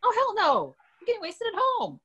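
A woman's voice: very high-pitched, wildly swooping pitch, fairly quick, bright, forceful tone, muffled recording, a little hiss or hum, heavily acted. A woman at a comedy table read playing a snarky, hard-drinking, wisecracking wedding guest. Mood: sarcastic.

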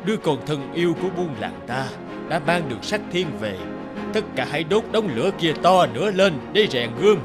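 Man playing old village elder, ceremonial tone, lively pitch, heavy background music. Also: brisk, lightly acted. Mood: solemn. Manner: solemn, ceremonial speech. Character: wise old male village elder, solemn and protective. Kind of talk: cartoon voice acting. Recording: voice over loud music.

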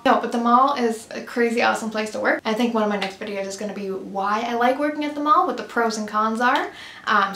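A woman's voice: a little high, a bit bright, echoey room. A young woman in her twenties vlogging casually to camera, upbeat and chatty with lots of "like" and "um" fillers. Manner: chatty, upbeat, many "like" and "um" fillers, laughs often. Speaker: vlogger, mall retail worker. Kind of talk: casual vlog talking to camera. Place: small plain room, indoors, grey backdrop.

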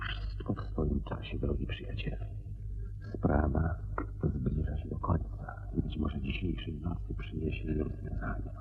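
A man acting a shrewd, courteous and secretive private detective in a radio crime drama, with a measured, calmly authoritative manner. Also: rumbling low, narrow, tinny audio, a little hiss or hum. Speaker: actor.

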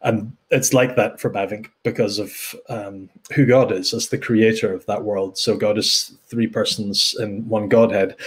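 A man's voice: lowish voice, breathy edge. A middle-aged Scottish man answering interview questions in a thoughtful, explanatory way, with frequent ums and restarts. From Scotland. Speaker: guest theologian.

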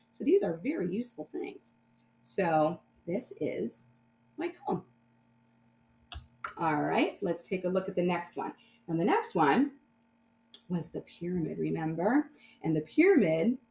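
A woman's voice: lowish voice, a little mellow. A woman teacher explaining things informally to young children, often checking in with short tag questions. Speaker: primary math teacher.

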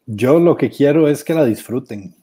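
Young man, mellow tone, filmmaker guest, earphones on video call, easygoing. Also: mid-range voice, animated, moderate pace, slightly rough.

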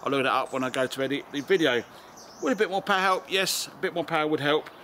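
Higher-voiced Englishman, outdoor noise. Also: fast talker, bright, forceful tone. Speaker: amateur radio video host. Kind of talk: casual presenter talk to camera. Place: outdoors in a garden and open high spot. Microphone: camera or phone at about arm's length. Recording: outdoor recording with background ambient noise.

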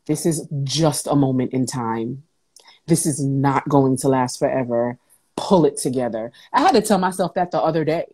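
A woman's voice: very deep voice, normal speed, slightly rough. A woman in her thirties speaking emphatically and casually as a motivational interview guest on a video call. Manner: animated, emphatic, conversational. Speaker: guest, talk show host and entrepreneur. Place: indoors at home on a video call. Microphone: wired earbuds on a video call.

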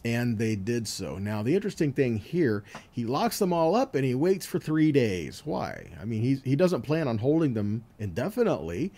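A man delivers an informal scripture teaching in a relaxed, warm-toned voice. His pitch is lively and varied as he moves between reading and explaining. He talks conversationally, checking in with short rhetorical questions. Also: normal speed, hardly any pauses. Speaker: Bible teacher giving a weekly scripture teaching.

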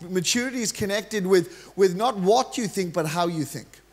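A middle-aged man with a Welsh accent delivers an energetic, humorous sermon, his voice sitting notably high for a man. He speaks into a handheld mic on a large church stage. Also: moderate pace. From Wales. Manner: energetic, joking preacher, gestures freely while pacing the stage. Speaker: guest preacher.

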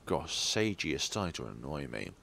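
A man's voice: a bass voice, very animated, moderate pace, vocal fry, a bit bright. A man reading a visual novel's story aloud as dramatic narration for a let's play. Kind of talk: dramatic narration of game text.

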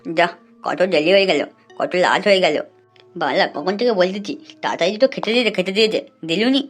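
Woman voicing a fast-talking, cheeky cartoon grandson. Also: lowish voice, faint music behind, lightly acted. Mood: grumbling, uneasy. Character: cheeky, foul-mouthed young grandson, easily frightened. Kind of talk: comic cartoon dubbing in colloquial Bengali.

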